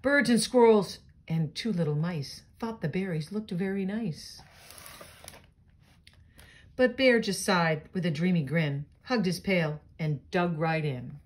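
Low-pitched woman reading a picture book. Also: measured pace. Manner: expressive, child-directed. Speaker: storybook reader. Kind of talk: children's picture-book read-aloud with chatty asides.

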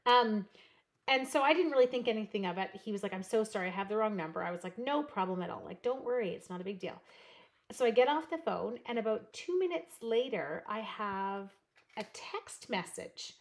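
A woman's voice: medium pitch, a little fast. Woman, from Canada, live crafting host, rambling chatty talk, craft-room desk. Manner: rambling, animated, reads chat comments aloud. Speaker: card-making livestream host.

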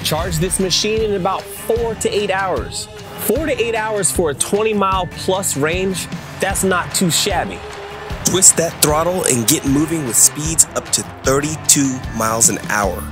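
A man with a somewhat high voice presents a product review from a script. His delivery is upbeat and casual, with slang dropped in freely and plenty of enthusiasm. A clear guitar music bed plays behind him. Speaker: presenter of a vehicle review channel.

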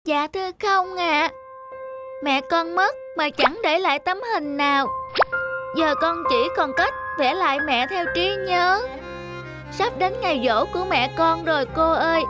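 A wistful little schoolgirl in a cartoon. The voice is very high, shy and politely hesitant. Loud music plays underneath. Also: measured pace. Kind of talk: cartoon voice acting.

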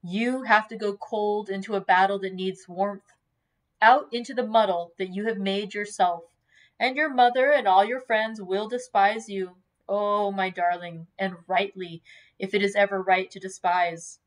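A woman reading a novel in the voice of an earnest, tender old man who is quick to heat up. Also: mid-range voice, fairly even intonation, lightly acted, rough recording. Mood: impassioned. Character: impassioned saintly old man, tender, hot-tempered, earnest.

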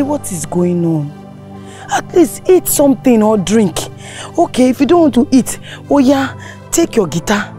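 A woman's voice: on the high side, mellow. A woman with a Nigerian accent playing a distraught, tearful mother who keeps pleading with her silent daughter to eat. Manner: pleading, repeating herself. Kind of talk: comedy skit acting.

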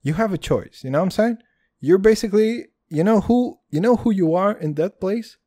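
Guy hosting a comedy podcast, foul-mouthed, keeps saying dude, mellow dark voice. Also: high-pitched, animated, normal speed. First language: Hebrew. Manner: crude, loud swings, calls listeners dude. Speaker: podcast host. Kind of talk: comedic solo podcast rant.

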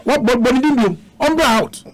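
A Ghanaian man arguing forcefully on a radio panel, repeating himself in exasperation. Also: extremely high pitch, moderate pace. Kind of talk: heated radio panel debate. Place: radio studio. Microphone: studio microphone.

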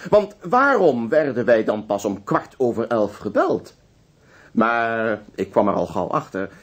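An actor playing a courteous, methodical police inspector in a Dutch radio drama, businesslike with pensive hesitations. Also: male voice, mid-range voice, sing-song, measured pace. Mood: measured.

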